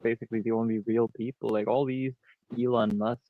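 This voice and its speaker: Danish guy, low gravelly voice, light accent, laid-back chatty guest, dull dry lo-fi audio. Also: little pitch movement, a little fast, a little hiss or hum. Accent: Danish-tinged English with American influence. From Denmark. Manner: laid-back, rambling, says "dude" and "like". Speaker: podcast guest. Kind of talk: casual online group chat.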